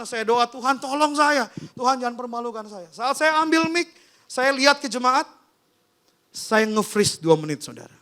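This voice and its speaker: High, bright-voiced male pastor. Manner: informal storytelling, often addressing listeners directly. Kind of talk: personal testimony in a sermon, addressing a congregation.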